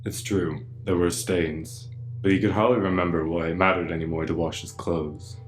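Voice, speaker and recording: A man's voice: low-pitched, level tone, normal speed. A man reading scripted story narration as the narrator of a radio play. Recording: faint music behind.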